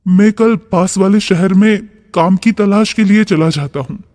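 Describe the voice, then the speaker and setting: A man's voice: high-pitched, unhurried, a little mellow. A man playing a poor, worried village farmer in a cartoon story, plain-spoken and pleading. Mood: downcast. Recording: long reverb.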